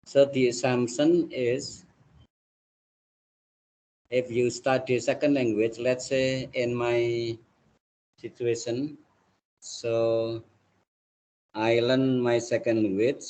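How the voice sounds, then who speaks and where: A man from Indonesia with an Indonesian accent, a native Indonesian speaker, lecturing a class on linguistics in a halting way, often saying "let's say, like". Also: medium pitch, little pitch movement, a little mellow, rough recording.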